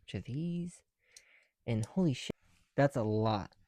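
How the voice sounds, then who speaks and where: Man, tired, hushed casual ASMR chat, musing to himself, swinging intonation, close dry sound. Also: mid-range voice, animated, takes it slowly, no echo. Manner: hushed, musing to self while counting pieces. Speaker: ASMR video creator. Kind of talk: soft-spoken ASMR, casual chat while building.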